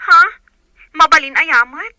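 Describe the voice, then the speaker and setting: A Filipino woman playing an excitable friend on the telephone in a radio drama. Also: high voice, takes it slowly, no echo, heavily acted, rough recording. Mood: excited, insistent.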